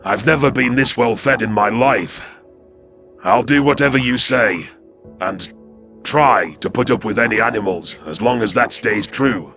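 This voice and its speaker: A man voicing a scrawny, wary alien predator soldier in an audio drama, gravelly, deferential yet cold and terse. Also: mid-range voice, measured pace, fairly bright tone, voice pushed up. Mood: earnest, deferential. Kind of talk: audio drama character acting. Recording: music behind the voice.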